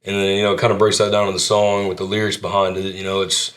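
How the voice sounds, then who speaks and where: A man with a low, deep voice speaks in an unhurried, laid-back way. He sounds modest as he talks about his music, filling his answers with easy fillers. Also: completely flat delivery, a bit bright. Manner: laid-back, modest, lots of "you know" and "kinda". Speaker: country singer, interview guest. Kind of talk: relaxed interview answers.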